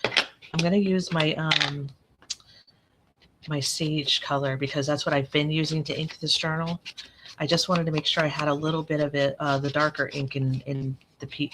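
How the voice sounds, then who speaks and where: A woman with a raspy, allergy-worn voice, rambling and correcting herself as she talks through a live crafting tutorial at her desk. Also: low-pitched, background noise. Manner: chatty, rambling, self-correcting. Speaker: craft channel host.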